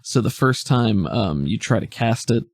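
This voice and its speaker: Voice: mid-range voice, lively intonation, normal speed, creaky, darkish tone, no room sound. A game master casually narrating a tabletop roleplaying game and explaining its rules.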